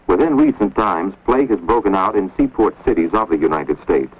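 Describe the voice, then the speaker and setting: A man giving measured, grave scripted narration for an instructional film, recorded on a dull, lo-fi 1950s film soundtrack. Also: low-pitched, lively intonation, husky. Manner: measured, grave, authoritative delivery.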